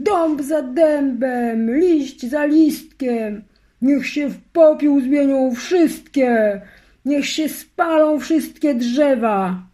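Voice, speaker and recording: A woman voicing a cruel, hateful male sorcerer in a storybook reading, loud and slow. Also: high-pitched, pitched above the performer's own voice. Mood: furious.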